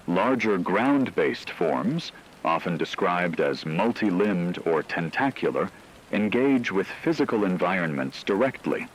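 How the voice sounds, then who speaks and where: A man playing a grave radio news anchor, delivering a scripted report in a solemn, formal, measured way. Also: low-pitched. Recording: noisy, rain-like background ambience.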